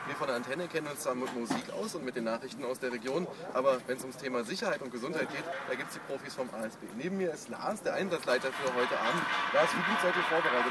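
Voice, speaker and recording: Upbeat man reporting over loud noise. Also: mid-range voice. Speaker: radio presenter reporting on site. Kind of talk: on-location promotional video report. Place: event site, outdoors and inside big tent. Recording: loud ambient noise, on-camera location sound.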